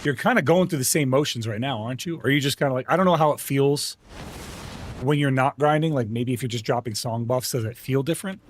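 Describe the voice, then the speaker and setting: A man's voice: mid-range voice, fast talker, a little hiss or hum. A man streaming an online game live, chatting casually and greeting viewers by name in a friendly way. He grew up speaking Arabic at home. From United States. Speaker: livestream gamer host.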